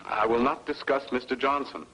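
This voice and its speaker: An actor playing a grave, guarded doctor with a formal, clinical manner, in 1950s B-movie style. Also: male voice, medium pitch, fairly even intonation, moderate pace, a bit bright, lightly acted, low-quality recording. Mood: guarded evasive. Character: grave, guarded male doctor and blood researcher. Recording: old film audio with faint hum.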